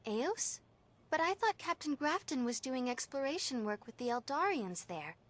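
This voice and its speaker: A woman with an American accent voicing an earnest, gentle young crewmate in a video game cutscene, speaking softly and sincerely. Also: high-pitched, big pitch swings, moderate pace. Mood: concerned. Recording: music bed behind the voice.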